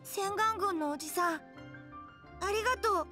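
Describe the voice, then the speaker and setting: An earnest young boy from a game, with a very high, bright voice. He sounds polite and childlike, over faint music. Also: normal speed. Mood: earnest, subdued. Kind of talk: scripted game dialogue.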